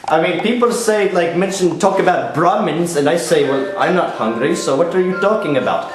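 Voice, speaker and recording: Man putting on a high-voiced, earnest Indian reporter. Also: expressive, normal speed, close to an everyday voice. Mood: puzzled. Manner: broken English, rambling, earnest. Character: naive, chatty Indian TV field reporter moonlighting as telemarketer. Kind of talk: parody news field report. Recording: roomy echo, faint music behind.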